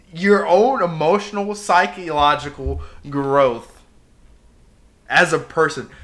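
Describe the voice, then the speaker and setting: Bright-voiced young man on a rant. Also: fairly high pitch. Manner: impassioned ranting with emphatic outbursts. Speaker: podcast co-host. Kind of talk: casual podcast rant.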